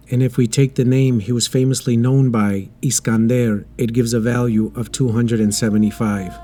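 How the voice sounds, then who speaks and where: A man delivering scripted educational narration in a deliberate, emphatic, explanatory manner. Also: mid-range voice, mellow. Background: background music.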